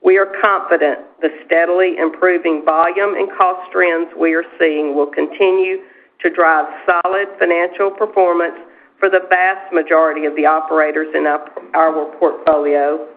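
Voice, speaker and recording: A woman executive reading a scripted financial presentation on a corporate earnings call. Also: low voice. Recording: tinny, narrow phone-line conference call.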